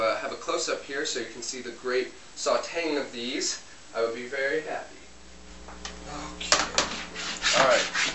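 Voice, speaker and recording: A man's voice: mid-range voice, close to an everyday voice. A man playing a young Polish man who talks hesitantly with many ums while he cooks in a home kitchen, recorded from a distance. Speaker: interviewee cooking on camera. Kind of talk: amateur comedy sketch interview. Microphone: camera mic from a distance. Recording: roomy, distant, hissy home video.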